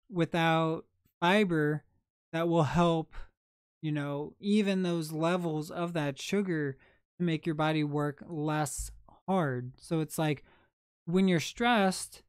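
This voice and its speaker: A young man hosting a late-night livestream, chatting in a soft, calm, unhurried way. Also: fairly high pitch, clean tone, dry recording, clean studio sound. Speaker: livestream host.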